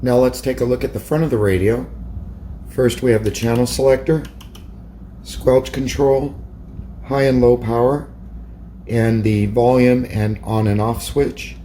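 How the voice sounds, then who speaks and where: A man's voice: medium pitch, steady tone, measured pace, pauses often, warm tone, slight background noise. A man narrating a hands-on product demonstration as a hobbyist presenter.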